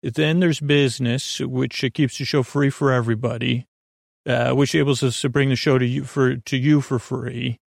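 Gravelly, rambling male bedtime podcast host. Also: medium pitch, fairly even intonation, dry recording, clean studio sound. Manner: meandering, halting, often trailing off and restarting; goofy, self-deprecating. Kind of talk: rambling, casual bedtime monologue.

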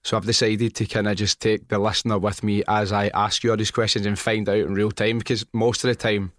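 A man's voice: fairly low pitch, level tone, a little fast, no room sound, clean studio sound. A Scottish man hosting a podcast interview, chatty and jokey, laughing easily as he talks. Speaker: podcast host. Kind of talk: casual interview chat.

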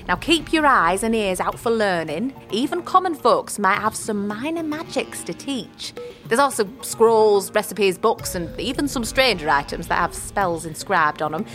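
A woman's voice: medium pitch, lively intonation, moderate pace, fairly bright tone, faint music behind, natural voice. A woman playing a crotchety but kindly old hedge witch in a fantasy audio drama, teasing and speaking in folksy rustic dialect. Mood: instructive.

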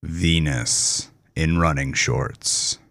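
A man hosting a horror story podcast, reading a scripted introduction in a deliberate, spooky manner. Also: a bass voice, gravelly, a bit bright, dry recording, soft background music. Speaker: podcast host and writer.

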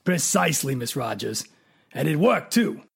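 An actor playing a smug private detective who is a cold killer in a radio mystery drama, with a raspy, gravelly voice and a coolly sardonic manner. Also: medium pitch, sing-song, moderate pace, exaggerated voice. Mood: coolly menacing.

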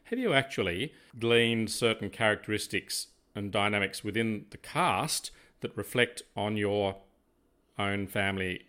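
An older man with an Australian accent, talking casually as a podcast co-host in wordy, deliberate phrases. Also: on the low side, sharp tone, smooth. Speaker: podcast co-host, former police officer.

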